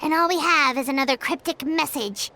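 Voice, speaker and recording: A woman voicing a tiny, childlike game companion, bossy and excitable, with a chirpy, exclamatory delivery. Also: sits high, brisk, slight background noise. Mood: eager. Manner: chirpy, exclamatory, refers to self by name. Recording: loud background game music.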